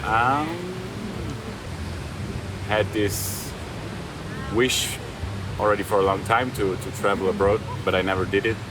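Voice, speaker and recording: A man in his twenties whose first language is German speaks with a very low voice. He answers hesitantly, stopping to search for words. Noise from outdoors runs behind him. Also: fairly even intonation, a little slow, pauses often. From South Tyrol, Italy. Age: 20s. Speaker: interviewee. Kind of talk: casual interview answers.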